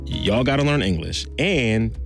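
A man hosting a conversational news podcast, quick, wry and incredulous. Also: fairly low pitch, expressive, vocal fry, soft background music. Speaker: podcast host.

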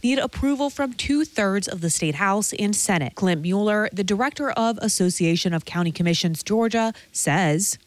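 A woman's voice: medium pitch, expressive, normal speed, few pauses, dry recording. A woman reading a scripted radio news report.

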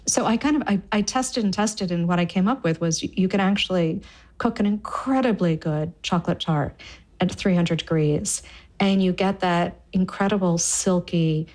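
A woman's voice: fairly low pitch, moderate pace, darkish tone. A woman cookbook author talking enthusiastically about a recipe in an interview. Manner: enthusiastic, repeats words while thinking. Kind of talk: casual interview.

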